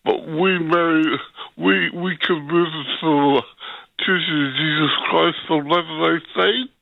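A man calling in to a talkback radio show, speaking in a halting, hesitant way with many pauses. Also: on the high side, steady tone, creaky, no room sound. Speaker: caller. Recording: tinny phone line.

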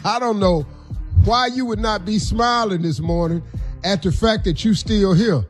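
A man's voice: high-pitched, a little slow, faint music behind. A man hosting a morning radio show, urging listeners to be grateful and calling them "man". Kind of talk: casual radio talk.

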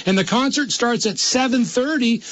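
High-voiced man hosting a radio chat. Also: normal speed, fairly bright tone. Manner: rambling, stumbles into repeated words. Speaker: radio host. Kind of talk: live radio interview.

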